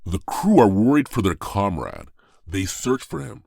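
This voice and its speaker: A man's voice: on the low side, huge pitch swings, normal speed, gravelly, warm tone, dry recording, clean studio sound. A man narrating a scripted audio drama in a solemn, storytelling manner. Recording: prominent music under voice.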